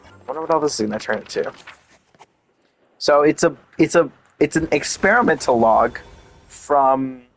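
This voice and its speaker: A man's voice: a little high, moderate pace, long pauses. A man giving casual, unscripted commentary as he plays a video game, with music behind him. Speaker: gameplay streamer. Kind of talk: casual game commentary, reacting and reading aloud.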